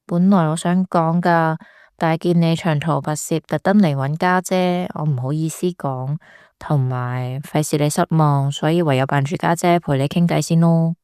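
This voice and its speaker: A woman with a Hong Kong Cantonese accent acting a gentle, shy younger sister confiding in a radio drama. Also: sits low, steady tone, normal speed, clear, resonant tone, no room sound, clean studio sound, natural voice. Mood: light, confessing. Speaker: voice actor.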